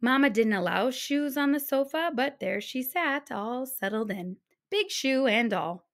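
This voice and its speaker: A woman reading a children's storybook aloud, narrating in an expressive, deliberate manner. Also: high-pitched. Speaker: storybook reader.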